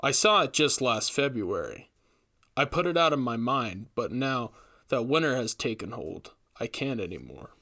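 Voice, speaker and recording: A man's voice: mid-range voice, very animated, moderate pace, sharp tone. A man voicing an earnest, troubled young forest ranger in a storybook reading, thoughtful and hesitant. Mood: uneasy.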